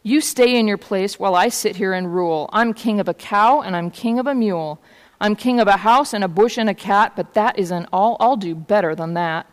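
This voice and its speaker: A woman acts out a grandiose, bossy storybook turtle king. He bellows his boasts and orders imperiously, in a clear voice ringing with long hall reverb. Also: medium pitch, moderate pace, lightly acted. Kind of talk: storybook read-aloud.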